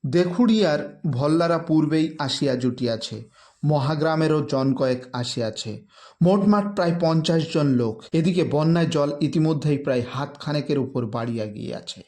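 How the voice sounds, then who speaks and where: A man narrating a literary story in an expressive, dramatic way with formal diction. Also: a little high.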